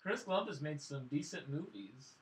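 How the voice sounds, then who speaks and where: A man's voice: on the high side, very animated, moderate pace, slight background noise. A man hosting a casual film review panel, chatting and moderating as he goes. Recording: echoey room.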